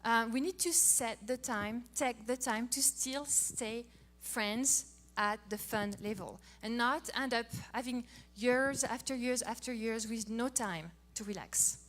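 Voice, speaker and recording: A middle-aged woman from France with a French accent, giving a playful, animated talk on marriage as a co-host. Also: fairly high pitch, measured pace, few pauses. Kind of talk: casual talk to an audience.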